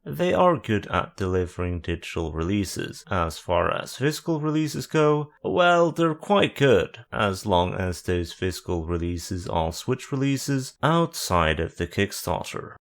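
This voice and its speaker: Male video essayist, lowish voice, scripted narration with deadpan sarcastic asides. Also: unhurried, vocal fry, few pauses.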